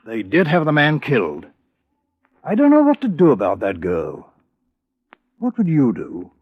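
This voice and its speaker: A proper British police inspector in vintage radio drama. His fairly high voice rises and falls freely, polite and dryly understated, on a muffled old recording. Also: wildly swooping pitch, a little slow, dry recording, exaggerated voice. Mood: courteous, wry. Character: courteous, wry, very proper male police inspector. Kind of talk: 1950s radio crime drama acting.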